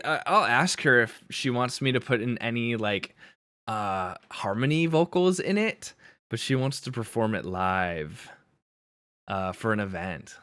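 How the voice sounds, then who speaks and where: A man streaming live as a music producer, chatting casually and thinking aloud in halting bits with fillers while he works on a mix. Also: medium pitch, fairly bright tone, no room sound, clean studio sound.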